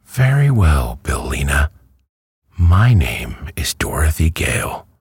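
A man's voice: extremely low pitch, sing-song, slow pace, darkish tone, breathy edge, no room sound, studio quality, exaggerated voice. A man reading a storybook aloud who voices a polite, earnest little girl. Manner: earnest, well-mannered, eager.

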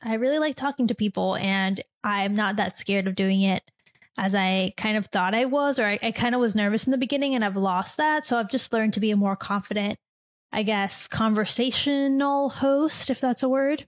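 A woman's voice: mid-range voice, moderate pace. A young woman from Chile raised in the US, hosting a casual solo podcast and talking in a chatty, rambling way full of "like". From born in Chile, raised in the US. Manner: chatty, rambling, many "like"s and restarts. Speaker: podcast host.

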